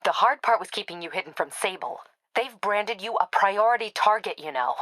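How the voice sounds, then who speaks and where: A tough female police captain played by an actress for a video game. Her voice is bright and forceful, in a very dry, close recording. She speaks in a clipped, authoritative way, dutiful and a little wry. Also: mid-range voice, expressive, moderate pace, no room sound, close to an everyday voice. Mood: serious, confiding. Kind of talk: video game dialogue acting.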